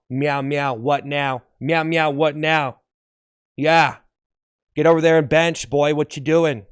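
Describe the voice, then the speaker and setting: A man's voice: on the high side, clean tone, dry recording, studio quality. A man voicing a boastful, muscular little cat that taunts people at the gym in a comedy skit. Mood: taunting, bossy. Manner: boastful, taunting, repetitive, meowing.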